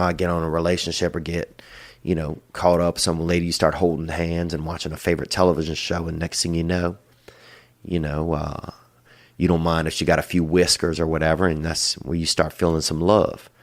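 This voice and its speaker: A middle-aged man hosting a call-in show, giving rambling, crude advice in a loose drawl, peppered with "you know" and "boy.". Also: deep voice. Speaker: podcast host giving advice.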